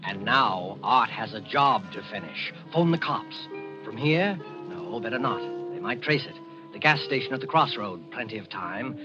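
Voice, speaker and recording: Guy doing terse ominous narrator for a 40s radio thriller, loud dramatic music under him, dull old audio. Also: on the low side, animated, moderate pace, lightly acted. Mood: terse, calculating. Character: ominous male narrator voicing a schemer's thoughts. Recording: muffled old radio broadcast recording.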